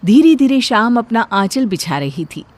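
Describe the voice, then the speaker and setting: A woman's voice: on the high side, very animated, moderate pace, a little mellow. A woman narrating a scripted story in a dramatic storytelling style. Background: background music.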